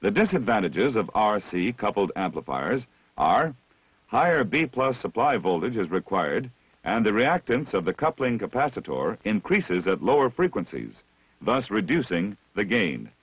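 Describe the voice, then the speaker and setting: A man narrates a vintage instructional film in a slightly low, animated voice with deliberate, didactic phrasing. His tone is a little husky, and the old soundtrack is dry and narrow, dulling the sound. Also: dry recording. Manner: measured, didactic, deliberate enunciation. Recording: dull, band-limited vintage film soundtrack.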